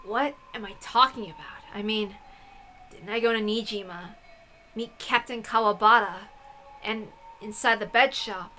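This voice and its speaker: A woman's voice: medium pitch, unhurried, bright, forceful tone, soft background music, rough recording. A woman voicing a troubled but defiant young story heroine with an earnest, questioning manner. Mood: uneasy.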